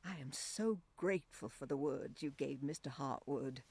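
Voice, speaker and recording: An actress voicing a devout 1920s woman reading her prayer diary aloud in a hushed, reverent voice that drifts into whispers. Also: a bass voice, normal speed, full character voice. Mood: hushed prayerful. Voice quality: husky and gravelly. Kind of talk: game voice-over narration.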